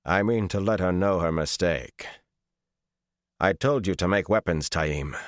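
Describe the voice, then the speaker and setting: A male narrator plays a hard-edged young leader in a fantasy audiobook. The voice is low and imperious, giving orders sternly, with a dry sound. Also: measured pace, no room sound. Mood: cold, commanding. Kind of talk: audiobook character acting.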